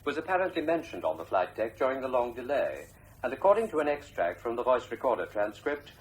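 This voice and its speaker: An actor playing a radio newsreader, giving a scripted bulletin in a formal, measured manner. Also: male voice, medium pitch, very animated, a little hiss or hum, close to an everyday voice, low-quality recording. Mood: formal, factual. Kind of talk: scripted news reading.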